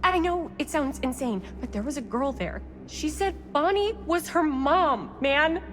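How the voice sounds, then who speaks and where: An actress playing a frightened, excitable young woman in a horror game, with a stammering, slangy way of talking. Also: high-pitched, measured pace, slight background noise. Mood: agitated, urgent. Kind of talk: cinematic horror game acting.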